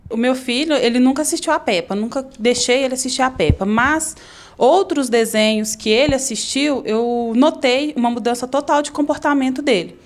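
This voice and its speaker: A woman's voice: sits high, moderate pace. A woman, a mother, telling an interviewer about her son in plain conversational speech. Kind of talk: interview testimony.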